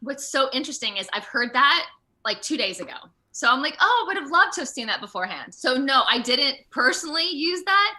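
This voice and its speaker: An American woman chatting casually as an interview guest, telling stories in an animated way. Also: sits high, brisk. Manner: animated storytelling, laughs often, acts out moments. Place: remote video call.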